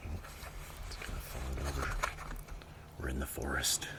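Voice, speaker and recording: Very deep, slow-talking ASMR man. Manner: unhurried, muttering asides, often drifting into whisper. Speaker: ASMR video host. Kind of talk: ASMR, softly rambling show-and-tell. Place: outdoors. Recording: noisy outdoor recording, breeze and handling sounds. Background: steady ambient noise, breeze, writing and page sounds.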